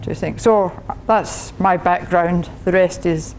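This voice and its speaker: A woman's voice: on the low side, steady tone, slow, darkish tone, a little hiss or hum. An older Scottish woman, a professor, giving a chatty technical talk to a club, laughing often and restarting her sentences. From Scotland. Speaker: guest speaker, professor.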